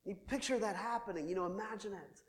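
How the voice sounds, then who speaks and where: A man preaching a sermon in church, animated, swinging between loud declaring and quiet asides. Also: sits high, fast. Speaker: pastor. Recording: reverberant church hall.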